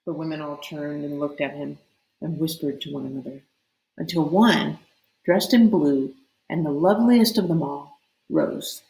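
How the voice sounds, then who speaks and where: A woman with a fairly low voice narrates a storybook aloud. She reads expressively, leaning on dramatic words, in an echoey room. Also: normal speed, warm tone.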